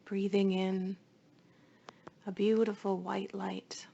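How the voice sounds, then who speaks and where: A woman leading a guided meditation in a soothing, hushed voice, with phrases often trailing into breath. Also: mid-range voice, level tone, slow pace, vocal fry, dull audio.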